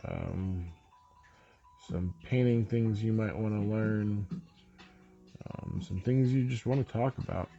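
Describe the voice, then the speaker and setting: A man's voice: lowish voice, gravelly, lo-fi sound. A man with a stuffy, congested voice chatting casually with many ums while he paints a model and explains each step. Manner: relaxed, rambling with many ums. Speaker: miniature painting channel host.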